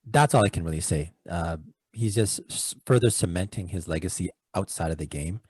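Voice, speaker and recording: Low laid-back man co-host. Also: fairly quick, a little mellow, slightly rough, no room sound. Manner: laid-back, playful teasing. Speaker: podcast co-host. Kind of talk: casual podcast chat.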